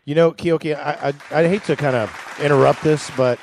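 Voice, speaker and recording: A man's voice: on the high side, steady tone, fairly quick, a faint crowd, slight background noise. A man born and raised in Orlando, co-hosting a casual radio interview in a chatty, enthusiastic way. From Orlando, Florida. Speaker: radio co-host.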